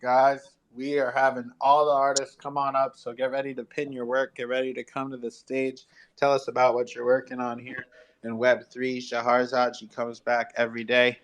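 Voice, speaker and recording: A man's voice: medium pitch, low-quality recording. A man hosting a live community audio show, informally addressing listeners and guests in an encouraging, promotional way. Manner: encouraging, informal, calls people "my friend".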